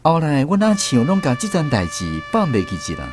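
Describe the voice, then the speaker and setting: A man's voice: mid-range voice, wildly swooping pitch, brisk. An actor with a Taiwanese accent narrating a radio drama as a man recalling his army days, in a storytelling manner. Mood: reflective storytelling. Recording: music behind the voice.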